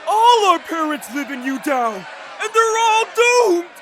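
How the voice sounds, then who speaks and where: A gloomy young student played by an actor frets like the end is near. The delivery is melodramatic and drawn out, with wildly moving pitch in a cartoon-style dub. Busy, noisy ambience sits behind the voice. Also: very animated, crowd noise, heavily acted. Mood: panicked gloom. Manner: fretful, melodramatic, drawn-out doomsaying. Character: gloomy, panicky young metalbending student fretting about doom. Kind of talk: animated-style comic dub acting.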